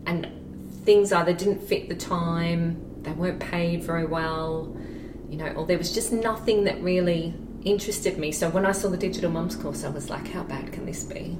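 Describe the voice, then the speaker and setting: A woman chats casually as a podcast guest. She is self-deprecating and laughs easily. Her voice sits a touch low and comes from a distance, with echo around it. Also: fairly even intonation, moderate pace, background noise. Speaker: podcast guest, social media marketer. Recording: roomy sound, distant from the mic.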